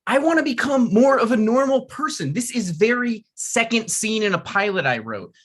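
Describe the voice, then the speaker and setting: A man's voice: very high-pitched, sing-song, moderate pace, a bit bright, exaggerated voice. A man reading the part of a struggling thirty-six-year-old TV writer at a table read, pleading and awkward.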